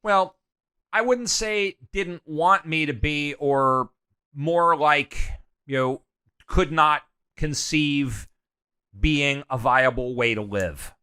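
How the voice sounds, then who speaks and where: A middle-aged man being interviewed, talking candidly and loudly, full of "you know" and restarts. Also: fairly high pitch, takes it slowly, clear, resonant tone. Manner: loud, animated, hesitant with many fillers. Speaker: guest.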